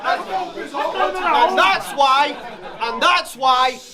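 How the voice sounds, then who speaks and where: A man's voice: extremely high pitch, drawn-out words, a loud crowd, heavy background noise. A man performing battle rap verses in a cocky, playful way. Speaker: battle rapper.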